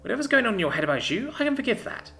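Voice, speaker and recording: A man voicing a sharp, teasing young woman. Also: very high voice, very animated, racing, pitched above the performer's own voice, heavily acted.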